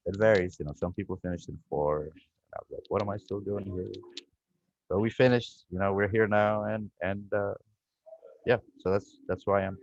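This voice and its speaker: A man's voice: sits low, fairly even intonation, normal speed, a little mellow. A man, a guest researcher telling his story on a video call in a reflective, rambling way. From Ethiopia. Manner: reflective, rambling with frequent "you know" and restarts. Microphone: video call microphone. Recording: dull lo-fi video call audio, dry.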